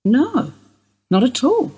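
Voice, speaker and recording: A woman acting in a radio play as a weary, evasive older wife under suspicion, husky and rambling in an understated way. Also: high voice, huge pitch swings, slow pace, mellow, exaggerated voice. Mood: wistful, evasive.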